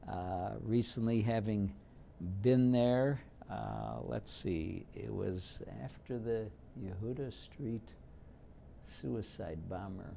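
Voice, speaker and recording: Older man in his seventies, Yiddish first language, gravelly low voice, unhurried interview storyteller, light background hiss. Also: warm tone. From Detroit, United States. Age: 70s. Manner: reminiscing, unhurried, with frequent fillers. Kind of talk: oral history interview.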